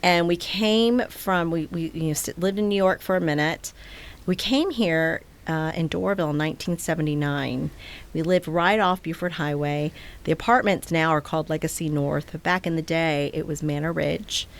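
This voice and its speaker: Casting: woman in her forties, a bit low, chatty guest who rambles and laughs easily. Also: moderate pace. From Taiwan. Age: 40s. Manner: loose and rambling, many fillers, laughs easily. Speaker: nonprofit executive director, guest. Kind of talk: casual interview chat.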